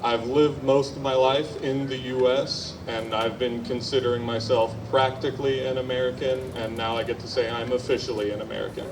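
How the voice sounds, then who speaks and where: A man born in Germany speaks in a flat, matter-of-fact way. The mic is distant, with room noise underneath. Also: medium pitch, brisk, hardly any pauses.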